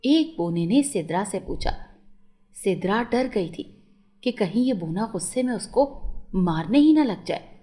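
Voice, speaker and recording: A woman's voice: mid-range voice, lively intonation, a little slow, mellow. A woman narrating a scripted moral story for children as a storyteller.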